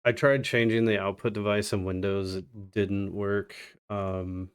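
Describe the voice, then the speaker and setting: Slow-talking, low-voiced man livestreaming a game. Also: flat delivery, smooth. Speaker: speedrunner on a livestream. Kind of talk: casual live game commentary.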